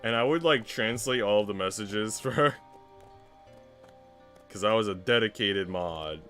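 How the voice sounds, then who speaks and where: A man's voice: lowish voice, lively intonation, unhurried, pushed and bright, faint music behind. A man livestreaming in casual, conversational chat with his viewers. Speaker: livestreamer reading visual novels.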